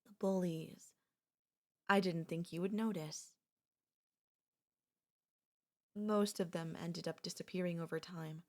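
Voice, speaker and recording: A low-pitched, soft voice belongs to an obsessive young childhood friend, as a woman plays her in an ASMR roleplay. She talks with a sweet, calm menace and often sinks to a whisper. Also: moderate pace, natural voice. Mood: soothing, hushed, then calmly chilling.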